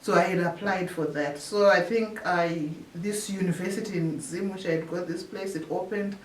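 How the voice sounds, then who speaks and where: A woman from Zimbabwe, a researcher telling her story in an interview, often pausing and restarting her phrases. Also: lowish voice, steady tone. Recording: echoey room, distant mic, faint hiss.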